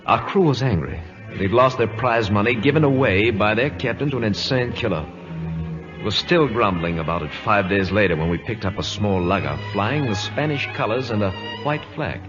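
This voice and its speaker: Male actor, forthright naval officer narrating, lowish animated voice over loud music, vintage radio drama. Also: moderate pace, lo-fi sound, lightly acted. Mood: grave, dramatic. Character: loyal, forthright British naval lieutenant narrating his memories.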